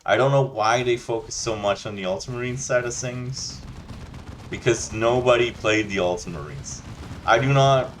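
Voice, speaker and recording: Low-voiced rambling man. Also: a little hiss or hum. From Denmark. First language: Danish. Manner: rambling, loose and chatty, many restarts and "you know". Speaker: gaming channel host. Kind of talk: casual rant over gameplay.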